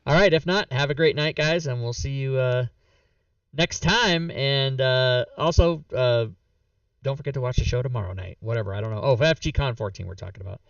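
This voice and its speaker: A man's voice: mid-range voice, normal speed, dry recording, recorded from a distance. A male game master winding down a stream in a loose, rambling way, full of "uh"s, his voice starting to go. His mic sits a bit back from him. The sound is dry and dull. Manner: rambling, relaxed, lots of fillers. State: losing his voice. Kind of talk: casual end-of-stream chat.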